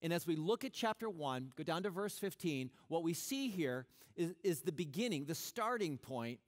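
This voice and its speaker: A middle-aged man preaching a sermon on a church stage, animated and conversational, often restarting his sentences. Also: on the high side, normal speed. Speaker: pastor.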